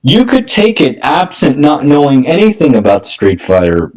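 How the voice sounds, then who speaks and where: Animated man co-hosting a film podcast. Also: a little high, normal speed, poor audio. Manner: emphatic, repeats phrases for effect. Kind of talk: casual movie discussion.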